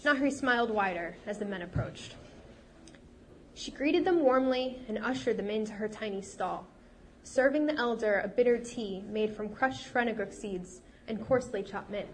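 A woman author reading her novel aloud at a live event, steady and expressive, her voice a little off while she gets over a cold. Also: medium pitch, moderate pace, a little hiss or hum. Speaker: fantasy author.

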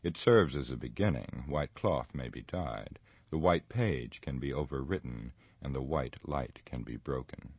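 A man voicing a scornful, proud wizard in a dramatic fantasy audiobook, with a pompous, sneering, drawn-out delivery. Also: a bass voice, expressive, darkish tone, no echo, heavily acted. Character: scornful, proud, grave male wizard with cold menace.

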